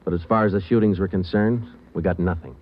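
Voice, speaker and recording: An actor playing a calm police sergeant who narrates the case in a flat, clipped, matter-of-fact way, in 1940s radio drama. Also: male voice, low-pitched, quick pace, lightly acted. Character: terse male police sergeant, calm and methodical. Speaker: narrator and detective. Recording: muffled 1940s radio broadcast with music.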